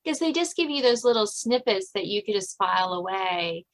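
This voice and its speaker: A woman's voice: medium pitch, animated, normal speed. A middle-aged woman, chatting casually as the host of an author interview.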